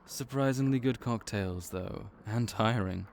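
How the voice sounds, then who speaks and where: A man's voice: on the low side, unhurried, gravelly, slight background noise. A man voicing a laid-back vampire clerk and mentor in an audio roleplay, dry and wry as he speaks to the listener. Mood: easygoing.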